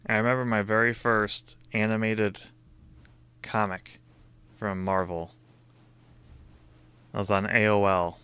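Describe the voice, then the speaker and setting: Guy hosting a gaming stream on a voice call, lowish voice but really bright, animated storyteller. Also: slow pace, pauses often, clear, resonant tone. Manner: animated storytelling, laughs often. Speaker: streamer and host. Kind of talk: casual gaming stream chat. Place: voice call with friends.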